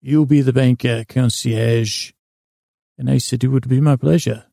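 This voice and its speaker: A man's voice: medium pitch, steady tone, measured pace, gravelly, warm tone, no echo, clean studio sound. A man playing a polite, proud, servile New York hotel concierge in a formal, courtly, pompous manner for a comic bedtime podcast. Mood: genteel.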